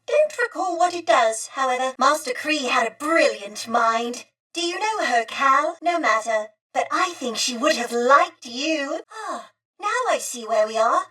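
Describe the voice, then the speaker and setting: A woman's voice: high voice, lively intonation, unhurried, bright, forceful tone, husky, recorded from a distance, full character voice, low-quality recording. A woman voicing a prim, courteous, fretful female droid from a bygone era in a video game, with formal, genteel phrasing. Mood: wistful. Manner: formal, polite, genteel old-fashioned phrasing. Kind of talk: video game character dialogue.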